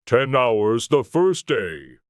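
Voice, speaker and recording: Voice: mid-range voice, sing-song, slow pace, no echo, clean studio sound. A storybook narrator voicing a melancholy, touchy old male turtle who tells tales in a sighing, mournful way. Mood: weary, resigned.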